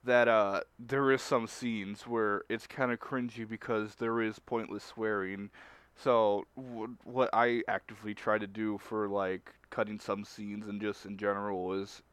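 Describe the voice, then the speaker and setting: A man co-hosting a casual group stream chat, speaking haltingly and often rephrasing as he goes. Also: lowish voice, little pitch movement, fairly bright tone, clean tone, dry recording. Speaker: stream co-host.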